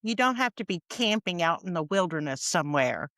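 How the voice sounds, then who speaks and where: A woman's voice: on the low side, lively intonation, fairly quick, a bit bright, no echo, clean studio sound. A woman with an American accent hosting a podcast, talking in a casual conversational style. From United States. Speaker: podcast host.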